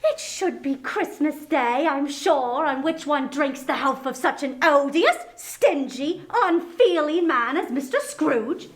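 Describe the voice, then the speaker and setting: A woman playing a sharp-tongued, indignant Victorian wife in a dramatic story reading, scornful and emphatic. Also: sits high, animated, slow, hardly any pauses.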